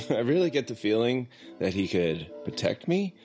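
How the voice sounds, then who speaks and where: A man's voice: mid-range voice, sing-song, a little slow, darkish tone, soft background music. An actor playing a brash, sarcastic young male delinquent in a comic audio drama, with slangy, incredulous banter. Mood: playful banter. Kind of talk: comic audio fiction acting.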